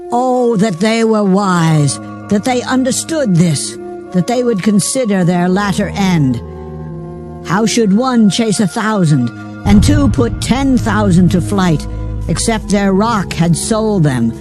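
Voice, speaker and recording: A wrathful prophet declaiming scripture. His voice is pitched high and solemn, delivering judgement in a dramatized Bible reading, with music clearly behind him. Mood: lamenting. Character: Moses, aged prophet reciting God's wrathful song of judgement.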